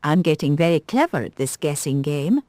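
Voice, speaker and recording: A woman voicing a conceited little male cat who talks slowly and smugly. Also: low voice, sing-song, mellow, no echo, clean studio sound. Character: small conceited male kitten, sly.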